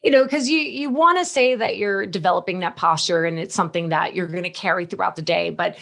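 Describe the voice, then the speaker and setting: A woman's voice: fairly low pitch, fairly quick, creaky, a bit bright. A woman of fifty hosting a podcast, talking in a chatty, informal way during a casual interview. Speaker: podcast host.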